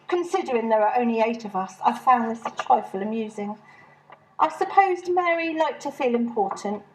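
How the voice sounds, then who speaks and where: A woman's voice: fairly high pitch, expressive, normal speed, darkish tone, rough recording. A woman reading her own humorous short story as a first-person narrator.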